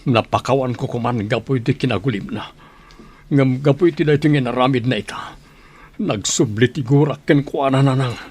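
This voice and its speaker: A man's voice: medium pitch, normal speed, darkish tone, husky, lightly acted. An actor with a Filipino Ilocano accent playing a heartsick man in love who confides his hurt in an audio drama. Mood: sorrowful, resentful.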